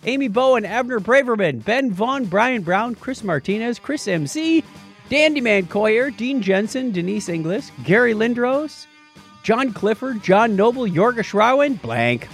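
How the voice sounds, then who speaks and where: A man's voice: very high-pitched, lively intonation, a little slow, soft background music. A man hosting a podcast in a casual, playful, joking style. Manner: jokey, playful. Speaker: podcast host. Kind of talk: casual chat, listener shout-outs.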